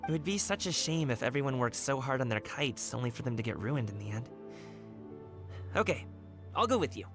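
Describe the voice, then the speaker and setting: A man's voice: medium pitch, big pitch swings, fairly quick. An actor voicing a friendly young male guard in a video game, with a breezy, eager, casual manner. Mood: friendly, concerned. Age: young adult. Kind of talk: animated video game dub acting. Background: background music.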